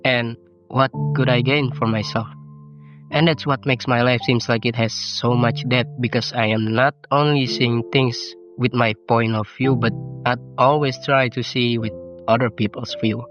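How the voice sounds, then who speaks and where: A man's voice: medium pitch, fairly even intonation, moderate pace, dull audio. Reflective Indonesian teenage guy over music. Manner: reflective, self-deprecating. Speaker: YouTuber. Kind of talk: personal vlog narration. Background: game soundtrack music.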